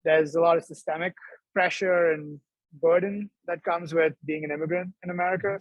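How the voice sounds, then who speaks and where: A young man from Dubai, a startup co-founder, talking quickly and animatedly as the guest in a video-call interview. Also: fairly high pitch, no echo, lo-fi sound. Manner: quick, animated, laughs between thoughts. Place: indoor room.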